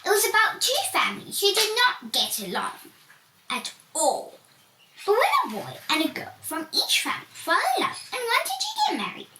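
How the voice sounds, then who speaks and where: High, animated woman reading a children's story. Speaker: story reader. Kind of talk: scripted children's storybook narration. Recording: roomy, distant mic, faint noise.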